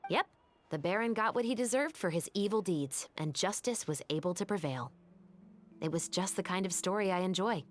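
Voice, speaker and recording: Woman playing a low-voiced, composed justice-minded investigator. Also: lively intonation, lightly acted. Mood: earnest storytelling. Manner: matter-of-fact, measured. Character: composed young female investigator, firm believer in justice. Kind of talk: scripted video game dialogue.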